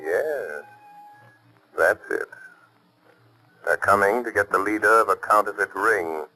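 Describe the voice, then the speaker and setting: A man acting an invisible, coolly menacing avenger in a 1930s radio drama, speaking deliberately and mockingly through a filter. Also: fairly low pitch, pushed and bright, faint music behind, exaggerated voice, poor audio. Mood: grave, accusing. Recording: old 1930s radio broadcast.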